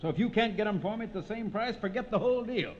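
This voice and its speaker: Fat garage boss, high voice, bouncy pitch, blunt, wheedling, old radio crime drama, muffled. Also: male voice, lively intonation, quick pace. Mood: slyly jovial. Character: fat, jovial but hard-bargaining male garage owner. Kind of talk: 1940s radio acting. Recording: dull, faintly noisy old radio recording.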